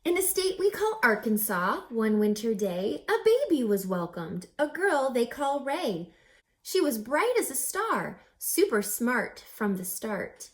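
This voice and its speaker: Animated, higher-voiced woman reading a storybook. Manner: theatrical, deliberate storytelling with emphatic phrasing. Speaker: reading teacher reading a picture book aloud. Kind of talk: expressive children's read-aloud of rhyming verse.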